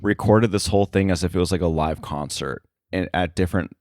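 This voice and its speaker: A man with a very low voice speaks casually as a guest in an interview. He explains things thoughtfully, with some hesitation. The sound is dry, with hardly any room in it. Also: fairly quick, darkish tone, no echo. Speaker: podcast guest, sound effects editor.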